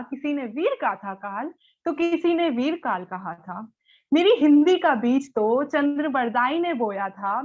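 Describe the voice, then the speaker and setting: A woman recites verse with a high, measured, unhurried delivery, often repeating a line for weight. The sound is slightly muffled, as over a video call. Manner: declamatory, repeats lines for emphasis. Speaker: poetry recital participant. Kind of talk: recited Hindi poem.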